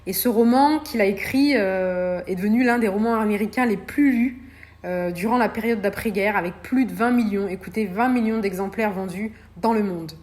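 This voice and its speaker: A woman's voice: mid-range voice, moderate pace, a little mellow. A woman hosting a solo podcast, talking in a hesitant way with many fillers and restarts. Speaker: podcast host.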